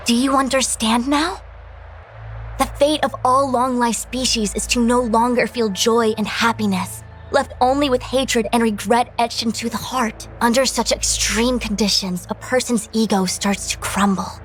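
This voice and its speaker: A woman's voice: fairly high pitch, fairly even intonation, normal speed, a little hiss or hum, lightly acted. An actress voicing an anxious, chatty young female fortune-teller in a video game, dramatic and explanatory. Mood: earnest lecturing.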